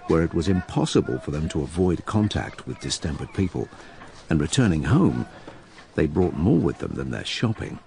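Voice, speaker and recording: Deep, gravelly, wry writer-narrator. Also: male voice, darkish tone, a little hiss or hum, exaggerated voice. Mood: wry, explanatory. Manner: wry, ruminative asides. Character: wry, musing writer narrating the plague years. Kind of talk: dramatised first-person narration.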